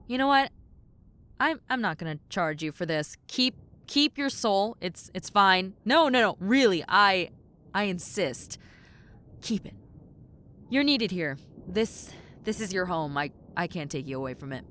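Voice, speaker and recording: Woman acting as a tomboy succubus, animated pitch, wry, flustered, casual, kindly demon, audio roleplay. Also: measured pace. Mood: flustered, grateful. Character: centuries-old tomboy succubus, exasperated but kind demon woman.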